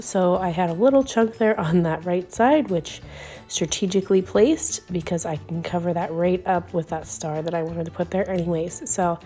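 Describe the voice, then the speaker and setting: A woman hosting a scrapbooking video, talking casually over her crafting with a hoarse voice that is giving out from illness and lots of ums and little laughs. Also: lowish voice, dark tone. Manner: rambling, chatty, frequent ums and little laughs.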